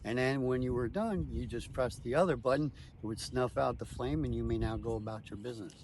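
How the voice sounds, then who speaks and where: A man's voice: medium pitch, fairly quick, darkish tone, slight background noise. A man speaking as a tour guide, explaining things step by step in short, emphatic declarative phrases.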